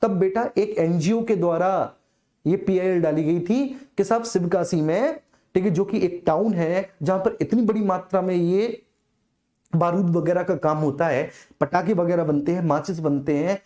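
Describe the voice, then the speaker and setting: A woman's voice: on the low side, normal speed, dark tone. A woman giving an informal exam-prep lecture as a coaching teacher, repeating key phrases for emphasis and often checking in with students.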